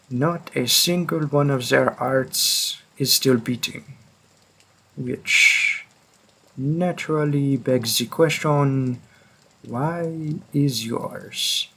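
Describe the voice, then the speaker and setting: A man playing a centuries-old vampire hunter, coolly menacing, deliberate and wry, in a dramatic audio roleplay with a husky voice. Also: medium pitch, a bit bright, heavily acted, low-quality recording. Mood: grave, explanatory. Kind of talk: slow dramatic second-person roleplay monologue.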